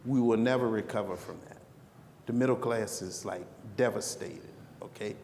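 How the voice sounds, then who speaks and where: A man answers from a conference panel in a loose, conversational way, often circling back and restarting. His voice sits low with a gravelly edge. The sound carries the long reverb of a large hall, with faint noise underneath. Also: normal speed, darkish tone. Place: conference breakout room. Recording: echoey hall with background noise.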